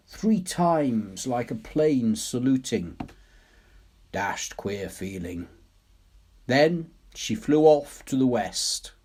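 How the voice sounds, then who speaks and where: A man's voice: medium pitch, warm tone, husky, voice pushed up, exaggerated voice. A man acting a clipped, jaunty British officer. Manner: clipped, jaunty, exclamatory phrases. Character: clipped, chatty British naval officer spinning a war yarn. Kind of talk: storybook character monologue.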